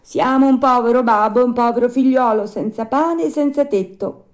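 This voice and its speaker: A woman's voice: fairly high pitch, normal speed, voice pushed up, lightly acted. A woman voicing an earnest, cheeky puppet boy in a storybook, with emphatic, sing-song delivery. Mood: polite.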